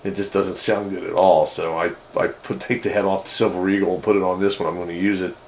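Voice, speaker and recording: Low-voiced man in casual radio-hobby chat, noisy. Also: fast. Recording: steady background noise.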